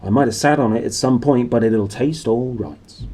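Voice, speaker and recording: A man's voice: medium pitch, normal speed, dark tone, husky, natural voice. A man voicing a friendly, blunt giant gatekeeper in a storybook, with folksy speech. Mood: warm, jovial. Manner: folksy, dialect grammar, blunt and jolly.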